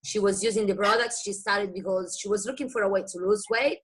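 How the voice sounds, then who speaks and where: A woman's voice: medium pitch, fairly even intonation, a little fast, husky, low-quality recording. A woman from Italy with an Italian accent, telling her story as a guest on a video call, eager and a little broken in her English. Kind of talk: personal success story.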